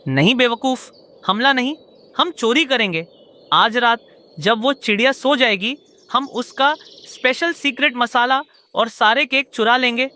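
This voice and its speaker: Cartoon crow villain, male, woman voicing, scheming, bossy, highish sharp voice. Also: a little slow. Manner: bossy, gruff scolding.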